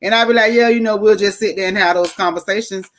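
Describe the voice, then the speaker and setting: A woman's voice: mid-range voice, steady tone, fast. A woman, guesting on a podcast over a video call and telling her story bluntly and plainly. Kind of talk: casual storytelling.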